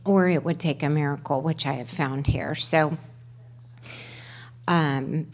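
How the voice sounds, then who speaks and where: A middle-aged woman with a loud voice sharing her story on a microphone at a twelve-step meeting, halting, with many fillers and restarts. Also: sits low. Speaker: panel speaker at a twelve-step workshop. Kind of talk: personal sharing at a recovery meeting. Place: meeting room. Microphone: handheld microphone.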